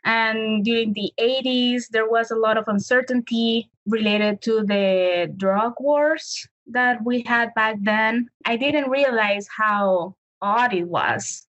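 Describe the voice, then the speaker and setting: A woman's voice: fairly high pitch, takes it slowly, hardly any pauses, a bit bright, dull audio. Colombian-accented woman, thoughtful guest. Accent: Colombian Spanish. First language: Spanish. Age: late 30s. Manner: thoughtful, restarts while searching for words. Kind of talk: casual interview answers.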